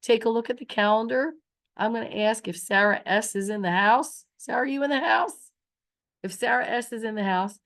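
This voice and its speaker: A woman teacher with a stuffy nose and scratchy throat, leading a recorded morning meeting in a sing-song, encouraging voice for young children. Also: mid-range voice.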